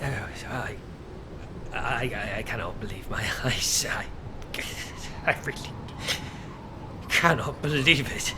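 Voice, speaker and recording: An actor playing an eccentric, obsessive and excitable scientist in a video game, speaking in a theatrical, wordy, formal way. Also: male voice, medium pitch, slow pace, pauses often, background noise, natural voice. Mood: stunned disbelief.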